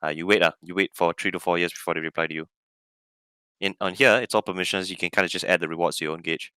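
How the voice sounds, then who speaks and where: A man who is a guest from a crypto team, talking fast and emphatically in a casual online chat. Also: low voice, fairly even intonation, bright, forceful tone, clear, resonant tone, dry recording.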